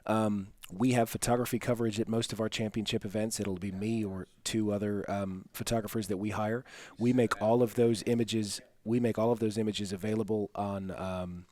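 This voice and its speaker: A man giving an informal explanatory briefing as a media director, matter-of-fact and somewhat halting. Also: lowish voice, steady tone, a little mellow.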